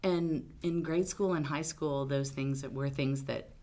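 A woman attorney from Arkansas, answering interview questions in a loud, outgoing, talkative way. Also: rumbling low, steady tone, unhurried. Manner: loud, big personality, talkative. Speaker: attorney guest.

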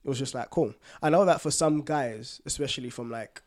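A man with a London accent and a medium-pitched voice chats as a podcast co-host. His delivery is informal and full of slang, like someone telling personal stories to friends. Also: a little fast, darkish tone. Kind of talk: casual chat, personal anecdotes.

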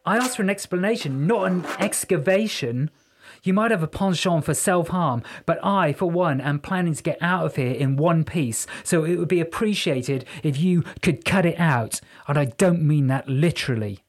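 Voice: mid-range voice, normal speed, voice pushed up, close to an everyday voice. Reader playing a pompous, flamboyant male rock star. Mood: indignant. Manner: affected, camp, wordy and pompous. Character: flamboyant, smug, hedonistic male rock musician, theatrical.

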